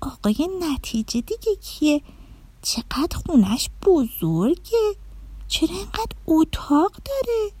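A woman plays an innocent little girl from a storybook, full of wonder and questions. The voice is high and lilting, with a mellow tone. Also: lively intonation, no echo. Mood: curious.

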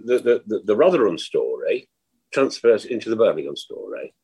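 A man's voice: lowish voice, very animated, normal speed, mellow, slightly rough. A man in his sixties on a video call, chatting casually about football, teasing the others and asking rhetorical questions. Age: 60s. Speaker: podcast panelist. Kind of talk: casual football fan chat.

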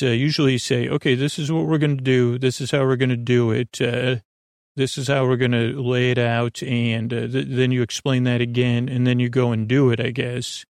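A man's voice: medium pitch, gravelly, no room sound, clean studio sound. A man hosting a podcast in a casual, goofy bedtime monologue, meandering and self-deprecating, often trailing off and restarting his sentences. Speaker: podcast host.

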